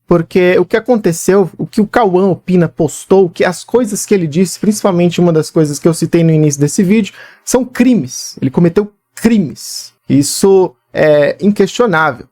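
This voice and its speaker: A woman's voice: lowish voice, normal speed, dark tone, no room sound. Serious woman VTuber. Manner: serious, explaining step by step. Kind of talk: commentary video.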